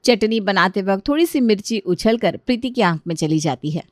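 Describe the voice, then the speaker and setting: A woman with a mid-pitched voice narrates a scripted domestic story. The recording is dry and close, with no room sound. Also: lively intonation, a little fast, no room sound.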